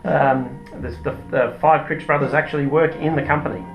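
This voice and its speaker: A man's voice: a little high, steady tone, brisk, dull audio. A man with an Australian accent explaining products in an informal interview, in a hesitant, explanatory way. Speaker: company product manager being interviewed. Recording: roomy echo with music behind.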